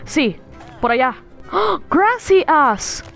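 Friendly cartoon villager or traveller voiced by a woman, casual and playful, on the high side, leisurely pace. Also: sing-song, very slow, gravelly, a bit bright, faint music behind, full character voice. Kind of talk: amateur cartoon dub.

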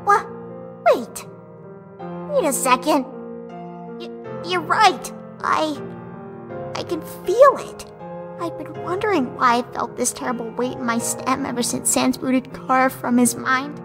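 A woman's voice: unhurried, pauses often. A woman voicing a small, guilt-ridden talking boy flower in a cartoon dub, whiny and melodramatic. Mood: stunned. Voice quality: high, sharp. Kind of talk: fan comic dub voice acting. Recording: music behind the voice. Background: music.

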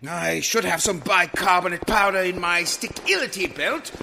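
Sharp, high-pitched pompous hero. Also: male voice, moderate pace, creaky, a little hiss or hum, exaggerated voice. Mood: awkward, fussy. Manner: grandiose, alliterative declaiming. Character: pompous costumed male vigilante hero, bumbling, theatrical. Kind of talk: comic audio drama acting.

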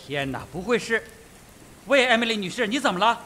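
Cavalier colonel, slightly high voice. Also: male voice, sing-song, brisk, sharp tone, slight background noise, full character voice. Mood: alarmed. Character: cool, cavalier male colonel and adventurer. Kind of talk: radio drama acting.

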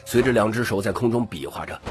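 A man's voice: on the low side, fast talker, over music. A man narrating scripted fantasy fiction dramatically as an audiobook reader.

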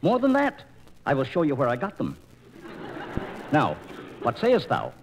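An actor playing a witty, weary English court jester in 1940s radio operetta, with theatrical, rhythmic delivery and archaic phrasing. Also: male voice, a little high, huge pitch swings, unhurried, noisy background, exaggerated voice. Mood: scheming. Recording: 1940s radio transcription disc.

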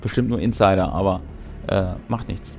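A man's voice: deep voice, normal speed, gravelly, very noisy. A man in his forties talking casually to camera as host of a tabletop gaming show, in a laid-back, wry, conversational way. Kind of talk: casual talk to camera about a tabletop role-playing game. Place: small brick-walled game room indoors. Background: background music.

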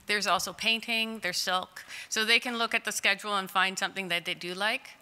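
A woman in her forties, a clay artist, answering audience questions in a chatty, candid way with a loud voice and frequent laughs. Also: mid-range voice, steady tone, fairly quick, smooth. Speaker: clay artist in long-term care. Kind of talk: conference Q&A answers. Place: conference hall. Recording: distant in a large reverberant hall.

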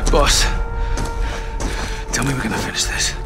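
An actor playing a principled young sergeant in a video game, earnest and clipped. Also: male voice, medium pitch, measured pace, close to an everyday voice. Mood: resolute. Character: young male sergeant, principled, questioning his captain. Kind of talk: video game cutscene acting. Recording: music behind the voice.

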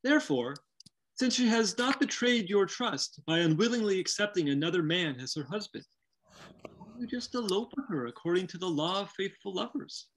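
A man's voice: high voice, lively intonation, moderate pace, fairly bright tone, exaggerated voice. A middle-aged man playing a scheming, persuasive manservant in a staged comedy reading over a video call. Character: scheming, persuasive manservant advising his lovesick master. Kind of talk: staged reading of a comic play. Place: book-lined room at home. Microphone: computer on a video call. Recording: noisy home video-call audio.

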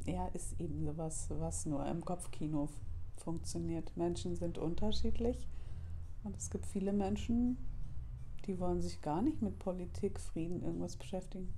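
Woman in her fifties, gravelly low-set tone, mellow, candid and reflective, lapel mic indoors. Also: fairly even intonation, moderate pace, a little hiss or hum. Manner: candid, thoughtful, with laughs. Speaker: guest, artist and author. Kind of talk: reflective interview talk. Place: cafe-like room indoors.

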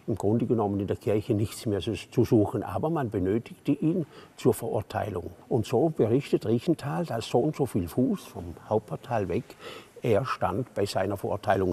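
Male church guide, low, gravelly, very husky, dark voice, lecturing. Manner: explanatory, lecturing, with fillers. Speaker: cathedral tour guide. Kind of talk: interview explanation. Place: inside a large church.

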